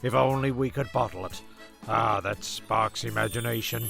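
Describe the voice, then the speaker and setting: A man playing a bumbling, irritable storyteller in a comedy radio play, rambling along in a hesitant, deadpan way. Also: medium pitch, moderate pace, creaky. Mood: dry. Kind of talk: rambling, sweary comic narration. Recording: music under the voice.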